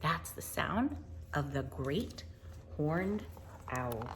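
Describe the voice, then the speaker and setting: An American woman reading a storybook aloud to children in an informal, chatty and expressive way. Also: deep voice, drawn-out words. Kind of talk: storybook read-aloud with casual asides to children.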